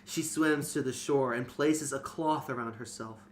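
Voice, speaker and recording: A man narrates a fantasy story in an informal read-aloud style. His pitch is average for a man. Some noise sits behind the voice. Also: normal speed. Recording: noticeable background noise.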